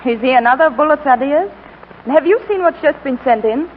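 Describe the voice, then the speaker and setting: Theatrical office woman, high voice, 1940s radio drama, tinny recording. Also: expressive, a little fast, a little hiss or hum. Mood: sardonic. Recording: tinny old radio recording, music behind.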